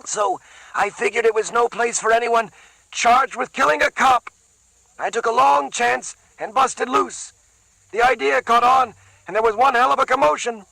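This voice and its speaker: A man voicing a cornered, streetwise young man who talks slangy and quick while playing innocent. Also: very high voice, creaky, pushed and bright, no echo, pitched above the performer's own voice, exaggerated voice, poor audio. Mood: tense.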